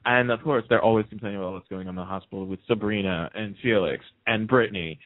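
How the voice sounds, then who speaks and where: A man's voice: fairly low pitch, quick pace, no room sound, narrow, tinny audio. A man speaks over a thin, band-limited phone connection with very little room sound. He chats casually as a call-in guest. His delivery is emphatic and opinionated, and he repeats phrases to press his point. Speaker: call-in guest on a radio show. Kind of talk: casual soap opera fan discussion.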